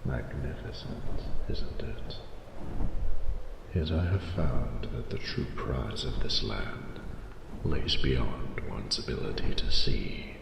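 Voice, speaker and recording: Noble old dragon, romantic fantasy roleplay. Heavy gravel and breath, unhurried formal delivery, tender but solemn, reverberant sound over noisy ambience. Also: male voice, extremely low pitch, animated, full character voice. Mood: solemn, reverent. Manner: slow, stately, formal phrasing. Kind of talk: scripted romantic audio roleplay.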